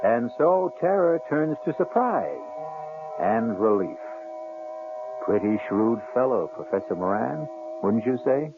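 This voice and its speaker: A man's voice: medium pitch, animated, takes it slowly. A man narrating the close of a radio drama as its host, in a wry, conversational way with sly asides. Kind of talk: scripted closing narration. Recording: tinny old radio broadcast with music behind.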